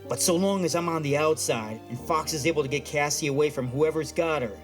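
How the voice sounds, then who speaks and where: A man's voice: fairly high pitch, a little fast, soft background music, natural voice. An actor playing an irritable, wisecracking male psychic who talks in old-time streetwise slang in an audio drama. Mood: grave, explaining. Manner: slangy old-time wise guy phrasing.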